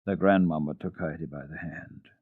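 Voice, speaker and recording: A man giving a deliberate, expressive dramatic reading of a children's classic as a radio storyteller. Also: very deep voice, husky. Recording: narrow-band, echoey old radio recording.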